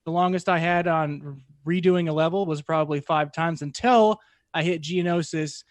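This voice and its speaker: Young male co-host, fairly high voice, casual storytelling, dry close mic. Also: fairly even intonation, moderate pace, dry recording. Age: young adult. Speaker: podcast co-host. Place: small home room. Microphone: close desk microphone with headphones.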